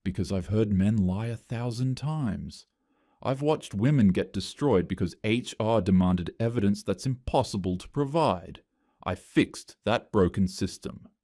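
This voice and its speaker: A man voicing a furious, defiant woman HR manager in a flat, even reading. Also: lowish voice, unhurried, no room sound, studio quality. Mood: angry.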